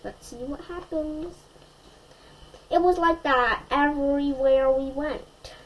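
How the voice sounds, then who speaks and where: A woman storyteller reading a children's picture book aloud in an expressive way, addressing young listeners. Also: high voice, slow, rough recording.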